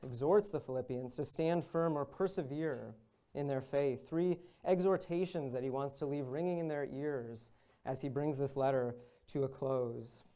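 A young man, a visiting minister, preaching a sermon at a pulpit in an earnest, measured way that rises on exhortations. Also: mid-range voice, mellow. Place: church room with large windows, at a pulpit.

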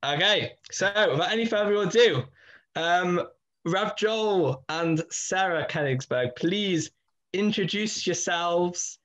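A man hosting a podcast, opening with lively, loose, rambling introductions. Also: high voice, slow pace. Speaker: podcast host.

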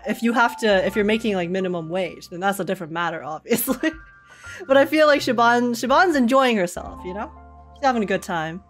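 A woman giving casual reaction commentary as she plays a video game, chatty and thinking out loud. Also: on the high side. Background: game music.

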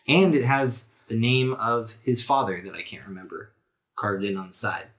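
A man's voice: on the low side, moderate pace, a bit bright. A man narrating a tabletop game as game master in a casual, conversational way.

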